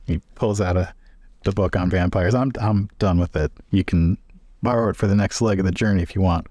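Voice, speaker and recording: A man's voice: low voice, brisk, warm tone, dry recording, clean studio sound. A man playing a tabletop game, casually improvising and describing what his character does in the third person.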